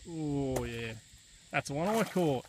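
A man's voice: mid-range voice, animated, takes it slowly. A young man making casual, admiring comments out on a fishing boat. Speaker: angler in a fishing vlog. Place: outdoors on a small boat on a river. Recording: noisy outdoor audio with clicks, insects.

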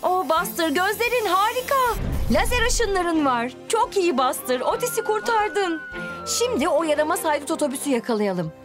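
A woman's voice: very high-pitched, animated, normal speed. A woman narrator telling a cartoon story expressively to young children, speaking directly to the characters and viewers. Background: music.

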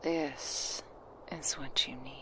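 A woman playing a seductive, hungry vampire who is doting yet predatory, in an intimate, breathy whispered ASMR roleplay. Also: steady tone, low-quality recording. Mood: seductive, possessive. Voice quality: breathy whisper with creaky low murmurs. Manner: slow, intimate, sultry. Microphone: very close binaural microphone.

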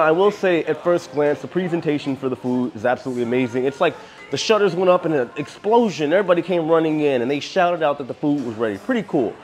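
An American man in his thirties giving a casual walking-tour commentary as a travel vlogger, recorded on a handheld camera. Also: on the high side. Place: walking around a cruise ship, decks and indoor areas. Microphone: handheld camera at arm's length.

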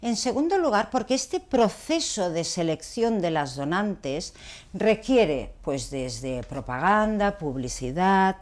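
A woman's voice: medium pitch, very animated, slow, darkish tone. A woman, a gynecologist explaining to camera in a didactic, emphatic way with rhetorical questions. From Barcelona, Spain. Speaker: gynecologist, video blogger. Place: small clinic consulting room.

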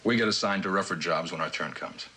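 An actor playing a polite, dutiful government agent, formal and matter-of-fact, in an old suspense drama. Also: male voice, low voice, fast, pushed and bright, breathy edge, exaggerated voice, low-quality recording. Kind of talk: mid-century American suspense drama acting. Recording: old broadcast with faint noise.

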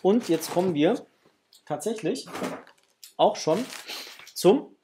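A middle-aged man with a husky voice, chatting casually and enthusiastically to viewers as he hosts an unboxing, sometimes muttering to himself. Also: sits high, lively intonation, slow pace, mellow, slight background noise. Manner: enthusiastic, exclamatory, muttering to himself while handling things. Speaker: host of a toy unboxing channel. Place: small indoor hobby room.

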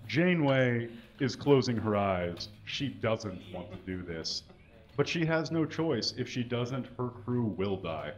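Animated man doing a comedic stage reading. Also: mid-range voice, a little slow, muffled recording. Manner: mock-earnest, exaggerated delivery, reading out typos and punctuation. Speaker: live comedy show performer reading fan fiction. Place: small indoor stage with curtains, live audience. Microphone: microphone on a stand.

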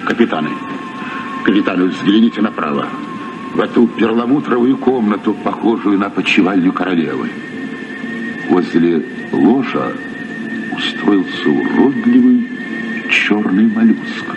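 Casting: gallant, curious radio-play captain, low lively voice, muffled old tape. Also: male voice, measured pace, long pauses, over music. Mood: intrigued. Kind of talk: Soviet radio drama acting.

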